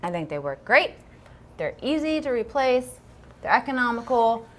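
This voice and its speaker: A woman's voice: fairly high pitch, big pitch swings, moderate pace. A woman co-hosting a casual livestream, chatty and upbeat, with plenty of ums and a self-deprecating streak. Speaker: livestream co-host.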